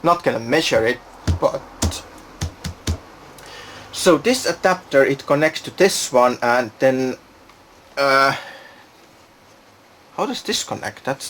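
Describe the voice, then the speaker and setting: A man's voice: medium pitch, a bit bright, slight background noise. A man giving a casual, unscripted product demonstration, thinking aloud and hesitating as he goes. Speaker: product reviewer.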